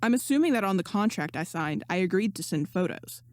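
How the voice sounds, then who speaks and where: A woman voicing an embarrassed, grumbling young man in a story, deadpan and sarcastic. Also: mid-range voice, brisk, no room sound, clean studio sound, close to an everyday voice. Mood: wary.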